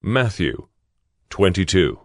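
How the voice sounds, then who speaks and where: Very deep male Bible narrator. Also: big pitch swings, slow pace, no echo, studio quality. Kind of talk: scripted dramatized Bible narration.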